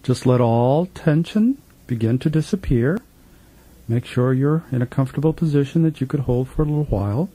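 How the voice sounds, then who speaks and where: A man leading a guided meditation as a spiritual teacher, gently instructing in a soothing, repetitive manner with trailing phrase endings, recorded in a studio. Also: mid-range voice, moderate pace, breathy edge, poor audio. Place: professional studio.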